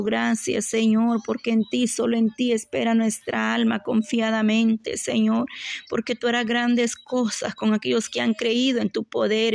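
A woman leads a fervent spoken prayer in a fairly high, creaky voice. She keeps a narrow, even pitch and an unhurried pace as she pleads devoutly, returning to repeated invocations. The sound is dry and close. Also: no room sound. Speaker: religious worshipper leading prayer.

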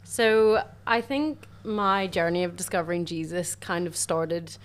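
A young woman from Ireland, a university student telling her faith story in chatty, warm casual talk full of "sort of" and "like". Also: on the low side, steady tone, measured pace, clean tone. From Northern Ireland. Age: young adult. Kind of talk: casual faith testimony chat.

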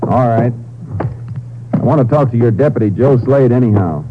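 An actor playing a tough, dry Texas Ranger with a clipped, firm delivery in a 1950s radio crime drama. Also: male voice, medium pitch, normal speed, lightly acted. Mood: matter-of-fact. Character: tough, dry male Texas Ranger, unyielding lawman and narrator. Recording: noisy 1950s radio, narrow thin sound.

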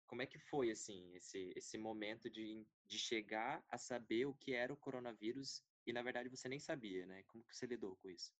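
A man's voice: mid-range voice, fairly quick, hardly any pauses. A man with a Brazilian accent hosting a podcast as a casual interviewer. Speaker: podcast host.

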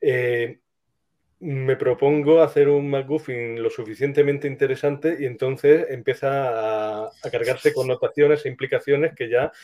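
A man with an accent from Spain talking casually as an interviewed scriptwriter, thinking aloud with hesitant pauses. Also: mid-range voice, steady tone. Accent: Spanish from Spain. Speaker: scriptwriter guest being interviewed. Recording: tinny, phone-like line.

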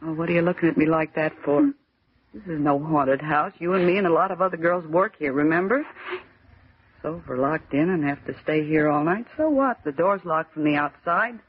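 Brash, husky young typist, old radio. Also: female voice, sits low, brisk. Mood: insistent, dismissive. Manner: wisecracking, slangy, emphatic. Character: brash, sceptical young female typist, exasperated and bossy. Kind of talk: 1940s radio thriller acting. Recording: dull vintage radio recording.

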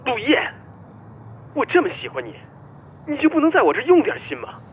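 A man's voice: very high voice, wildly swooping pitch, moderate pace, muffled recording, a little hiss or hum, full character voice. A man playing a possessive boss and obsessive spurned lover in a melodramatic Chinese audio drama. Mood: pleading.

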